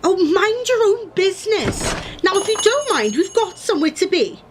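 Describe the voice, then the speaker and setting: Radio drama heroine, played by a woman: young, high-pitched, animated, feisty, sharp-tongued and indignant. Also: normal speed, background noise. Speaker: actor in a radio play. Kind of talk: radio play acting.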